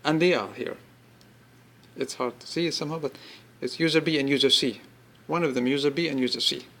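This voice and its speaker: Bright-voiced man giving an informal product demo. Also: medium pitch. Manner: explanatory, repetitive, halting step-by-step phrasing. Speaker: product demonstrator.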